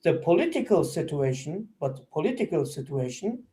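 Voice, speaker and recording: A Turkish man speaking halting, non-native English in a street debate, often repeating words as he searches for them. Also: on the high side, sing-song, dark tone. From Turkey. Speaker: activist.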